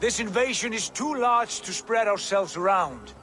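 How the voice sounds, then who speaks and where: An actor playing a resolute Norse warrior god in a video game, speaking formally and urgently. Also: male voice, sits high, lively intonation, moderate pace, pushed and bright. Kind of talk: dramatic video game acting.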